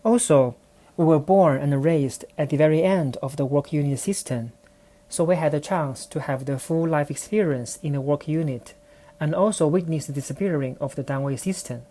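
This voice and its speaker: A woman with a Chinese accent tells her own story in English. Her voice sits low and mellow, and her delivery is careful and reflective, like a podcast host speaking alone to her listeners. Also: moderate pace. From China. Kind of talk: personal storytelling monologue.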